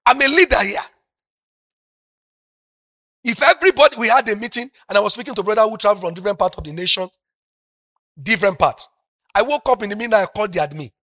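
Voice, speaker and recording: A middle-aged Nigerian pastor with a Yoruba-speaking accent preaching a sermon, moving from soft talk up to loud, passionate shouting. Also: male voice, high voice, quick pace, long pauses, no echo, clean studio sound.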